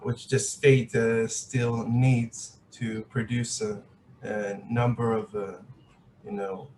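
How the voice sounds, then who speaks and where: A man hosts a podcast and asks questions slowly in a flat, even voice, often stopping on "uh". Faint background noise sits under his voice. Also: medium pitch, low-quality recording. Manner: slow, halting, with "uh" fillers. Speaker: podcast host. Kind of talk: podcast interview.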